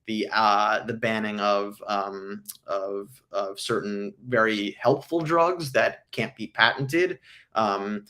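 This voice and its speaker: A man hosting a casual livestream, answering viewer questions with frequent ums, his voice hoarse from illness. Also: lowish voice, slow, bright, forceful tone. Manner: frequent ums, earnest explanatory.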